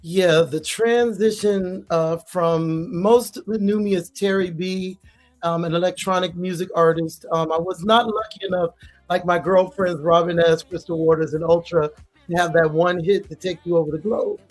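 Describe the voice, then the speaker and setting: A woman's voice: lowish voice, steady tone, normal speed, soft background music. A woman singer, a guest in an interview, talking chattily about her career and laughing easily. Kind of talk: casual interview talk.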